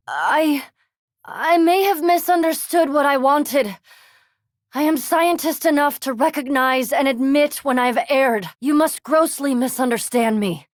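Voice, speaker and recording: Fantasy game role: a female vampire scholar, coolly disdainful, erudite and exact, in a higher register with a dry, close sound. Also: dry recording. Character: scholarly, proud, sceptical female vampire animancer. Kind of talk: fantasy video game voice acting.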